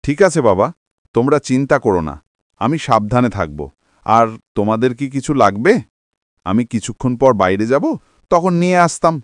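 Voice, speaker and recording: An actor playing a worried, dutiful young husband in a cartoon drama, speaking in an earnest, pleading way. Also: male voice, medium pitch, lively intonation, normal speed, no echo, clean studio sound, close to an everyday voice. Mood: reassuring.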